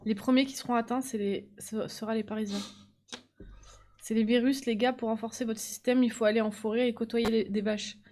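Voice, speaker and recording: A woman's voice: on the high side, fairly even intonation, brisk, a little mellow, slight background noise. A young woman streaming live, chatting casually and teasing her guest while greeting viewers.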